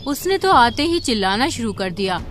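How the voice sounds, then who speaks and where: Cartoon songbird, female actor, small and sensible; high voice, questioning, concerned delivery for a children's animal tale. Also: sing-song, moderate pace, faint music behind. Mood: alarmed.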